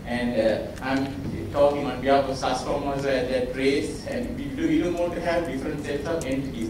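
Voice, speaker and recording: Man presenting at a business lunch, hesitant with frequent fillers, mid pitch, distant and echoey. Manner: halting, many uh fillers, thankful. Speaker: industry association representative. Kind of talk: briefing remarks at a business lunch. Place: hotel conference room. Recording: echoey hall from far off, noisy.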